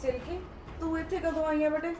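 Actress as a defensive young wife, bright high voice. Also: female voice, steady tone, a little fast, music in the background, close to an everyday voice. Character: flustered young wife and mother.

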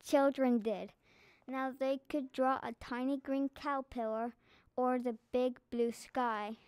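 High girlish female voice reading a kids book, slow, dry. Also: fairly even intonation, darkish tone, smooth, dry recording. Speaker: reader of a children's book. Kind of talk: scripted read-aloud narration.

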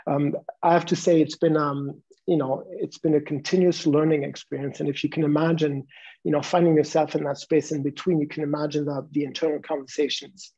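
Gravelly, husky man on a video call. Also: on the high side, level tone, dark tone. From Morocco and Luxembourg. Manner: halting, reflective. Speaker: interview guest, design innovator. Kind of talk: conversational interview answers. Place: room at home. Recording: dull video-call audio.

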